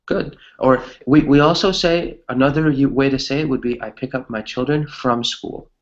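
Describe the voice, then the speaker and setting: A man's voice: mid-range voice, moderate pace, muffled recording. A man teaching an English grammar lesson conversationally, encouraging his students and often checking in with "right?".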